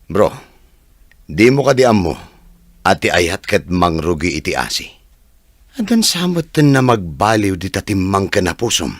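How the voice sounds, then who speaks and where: A man's voice: low-pitched, sing-song, moderate pace, pauses often, no room sound, studio quality, exaggerated voice. An actor playing a frank, teasing male best friend in a radio drama, with a northern Philippine accent. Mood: questioning.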